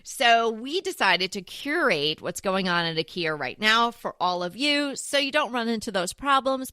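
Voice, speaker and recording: A woman's voice: medium pitch, sharp tone, dry recording, studio quality. A woman co-hosting a casual home decor chat, describing items in a chatty, enthusiastic way. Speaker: co-host.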